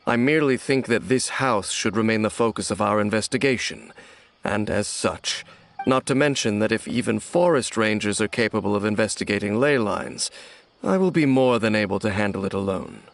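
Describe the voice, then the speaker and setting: A man voicing an ancient, solemn, aloof warrior who speaks in a formal, measured way in a video game. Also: lowish voice, gravelly. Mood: cool, dismissive.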